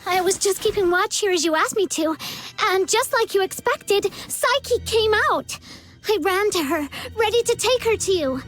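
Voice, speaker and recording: A woman voicing an excitable, jittery young girl in a video game, with a breathless, dramatic delivery. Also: extremely high pitch, moderate pace, soft background music. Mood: shaken, recounting. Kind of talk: video game cutscene voice acting.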